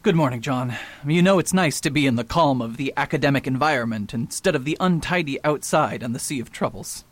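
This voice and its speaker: A man's voice: mid-range voice, animated, fairly quick, hardly any pauses, natural voice. A man playing a pompous, lofty detective. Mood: defensive. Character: proud pompous male detective, middle-aged. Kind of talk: radio drama acting.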